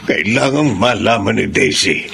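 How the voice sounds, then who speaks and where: Gravelly, grave older father. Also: fairly low pitch, lively intonation, unhurried, heavily acted. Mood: troubled, indignant. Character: protective, grave older father exposing his son-in-law's affair. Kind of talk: radio drama acting. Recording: clear background noise.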